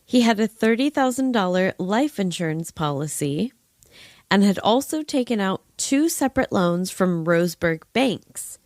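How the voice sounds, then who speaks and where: A woman podcast host with a very lively, varied pitch and a close, dry sound. She reads scripted narration in a wry, slightly ornate way, then slips into casual, playful asides. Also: normal speed, no echo. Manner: wry, playful narration with old-fashioned flourishes. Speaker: true-crime podcast host.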